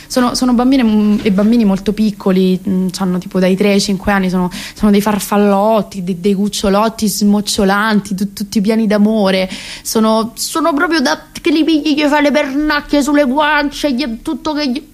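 A woman's voice: medium pitch, moderate pace, hardly any pauses, a little mellow, lightly acted. An actress around thirty with a Roman Italian accent, playing a neurotic, rambling, self-ironic woman in a comic radio monologue. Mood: gushing, rambling.

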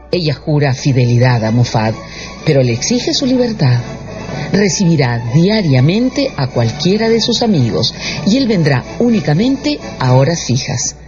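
A male narrator reads a scripted radio drama with very animated intonation. The sound is a little dull, and faint music runs underneath. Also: fairly high pitch.

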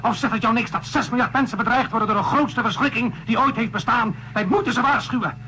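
Stubborn astronaut, very high sharp voice, argumentative, radio drama, faint noise behind. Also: male voice, quick pace, low-quality recording. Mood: angry, defiant. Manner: argumentative, declamatory. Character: stubborn, hot-headed male astronaut, determined to fight.

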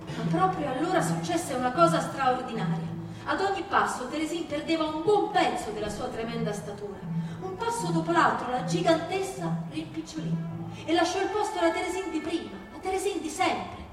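A woman's voice: high voice, animated, brisk. A woman reading a scripted story aloud in a theatrical storytelling style. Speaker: stage reader. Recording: echoey hall, distant mic, music behind.

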